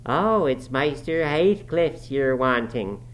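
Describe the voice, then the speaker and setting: A man voicing a grumbling, pious old manservant in broad Yorkshire dialect, sneering and scolding with drawn-out words. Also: mid-range voice, a bit bright, a little hiss or hum, pitched above the performer's own voice. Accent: broad Yorkshire dialect, put on.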